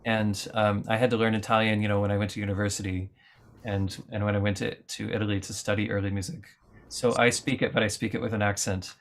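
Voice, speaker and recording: Low-voiced man, thoughtful with many fillers. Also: little pitch movement, quick pace. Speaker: lutenist guest. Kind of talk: conversational interview answers.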